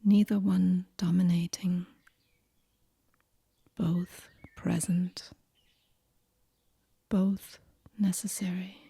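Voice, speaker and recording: Breathy, whispering woman guiding slow meditation. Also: lowish voice, flat delivery, dry recording. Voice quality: breathy, hushed, near-whisper. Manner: gentle, unhurried, soothing, with long pauses. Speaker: yoga nidra meditation guide. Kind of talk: guided relaxation meditation, soft and whispery.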